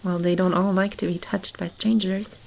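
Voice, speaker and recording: A woman playing a gentle, nurturing keeper of a magical animal shelter in an ASMR roleplay, speaking softly and soothingly to a child. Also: fairly low pitch, level tone, moderate pace, vocal fry, a little hiss or hum, rough recording. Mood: calm, wistful.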